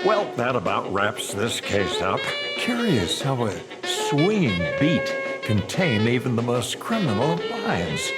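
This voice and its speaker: A man's voice: mid-range voice, wildly swooping pitch, slow pace, hardly any pauses. A man playing a melodramatic, campy 1960s superhero TV character in a video game, with theatrical, pompous delivery. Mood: smug, playful. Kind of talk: 1960s TV superhero camp parody. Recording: loud music over the voice. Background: loud music.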